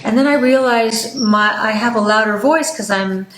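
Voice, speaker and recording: A woman's voice: a little high, moderate pace. Older woman hosting in an echoey room. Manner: chatty, animated, with fillers. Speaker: podcast host. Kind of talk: casual health talk. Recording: echoey room with faint noise.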